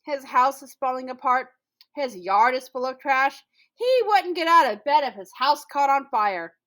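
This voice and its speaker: A woman's voice: high voice, expressive, unhurried, fairly bright tone. A woman voicing scornful animal neighbours in a children's folktale, with exaggerated, gossipy mockery. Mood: mocking. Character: scornful animal neighbours mocking a lazy spider.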